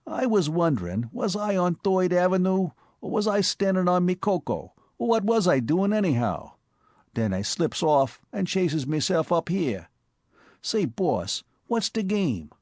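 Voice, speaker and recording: A man's voice: high-pitched, a little slow, no room sound, studio quality, voice pushed up, exaggerated voice. A man voicing a brash New York street tough. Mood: excited. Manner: excitable slangy street talk. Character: brash streetwise young male Bowery crook.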